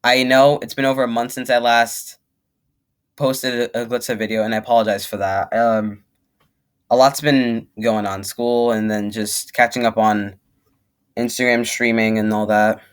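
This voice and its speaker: A man's voice: medium pitch, flat delivery, moderate pace, smooth. Young man rambling through casual reaction commentary. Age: young adult. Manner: rambling, emphatic, repeats himself for stress. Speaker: reaction video host.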